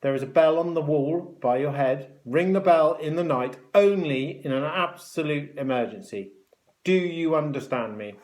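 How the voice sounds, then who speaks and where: A man voicing a cruel, bossy hospital matron with a sharp, mocking, snapping delivery in a children's story. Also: fairly high pitch, animated, normal speed, lightly acted. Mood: strict, bossy. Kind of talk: children's story read aloud.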